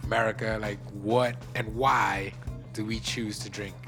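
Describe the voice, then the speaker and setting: A man's voice: fairly low pitch, fairly even intonation, measured pace, over music. A middle-aged man from Trinidad, a podcast guest, chatting playfully and joking with the host. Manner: playful, joking, laughs often. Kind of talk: casual podcast chat. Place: podcast studio.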